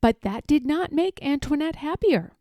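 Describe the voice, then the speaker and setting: A woman reading a children's storybook aloud with expressive narration. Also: high-pitched, normal speed, no room sound, studio quality.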